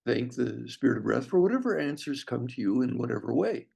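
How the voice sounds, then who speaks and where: A man leading a guided meditation as a breathwork teacher, speaking gently in short phrases with long pauses and a gravelly, husky voice. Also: medium pitch, sing-song. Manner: slow, pausing delivery in short phrases.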